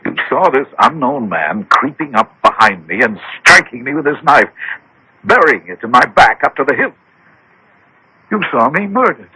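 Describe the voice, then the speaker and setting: Stubborn skeptical businessman in a radio play, worked up, voice swings around a lot, kinda raspy. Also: medium pitch, sing-song, moderate pace, pushed and bright, exaggerated voice, rough recording. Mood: shaken, disbelieving. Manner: emphatic, insistent, skeptical. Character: agitated hard-headed businessman with a weak heart. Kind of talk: radio drama acting. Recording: dry old radio broadcast.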